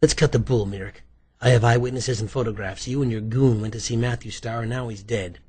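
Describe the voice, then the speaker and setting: A man voicing a tough, curt woman police detective, brisk and probing, in an audiobook. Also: medium pitch, fairly even intonation, dark tone, husky, lightly acted. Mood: hard, coaxing.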